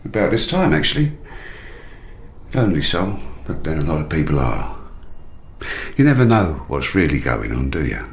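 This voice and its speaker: A man's voice: rumbling low, sing-song, moderate pace, slight background noise, exaggerated voice. An actor playing a gossipy, morbid British male neighbour in a radio drama, rambling with insinuating asides. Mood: confiding, gossipy. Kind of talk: naturalistic radio drama acting.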